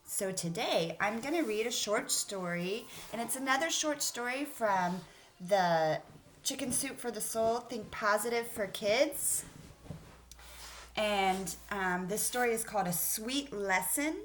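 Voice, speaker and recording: A woman's voice: medium pitch, animated, measured pace, a little hiss or hum. A woman teacher speaking to children in an encouraging, instructive way as she leads a read-aloud lesson. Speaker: elementary school teacher.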